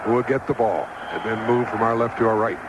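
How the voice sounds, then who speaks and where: A man's voice: fairly low pitch, brisk. A man with an American accent calling live football play-by-play on the radio in clipped, punchy phrases. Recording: dull lo-fi radio broadcast, loud noise.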